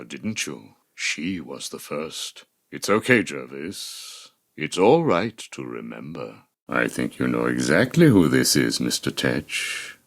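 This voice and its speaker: Sinister psychiatrist, video game villain, bottomless gravelly voice, measured, formal, coldly manipulative, condescending. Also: male voice, extremely low pitch, full character voice. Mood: probing, coaxing. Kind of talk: dramatic video game voice acting.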